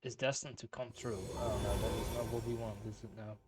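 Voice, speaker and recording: A man's voice: lowish voice, steady tone, a little fast, heavy background noise. A man giving casual game reaction commentary as a streamer, musing aloud informally. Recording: game music loud behind.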